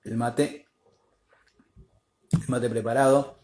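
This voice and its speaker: A man's voice: mid-range voice, steady tone, slow, a little mellow, reverberant, slight background noise. A man from Argentina with an Argentine accent, a native Spanish speaker, hosting a casual live interview and stopping often to search for English words.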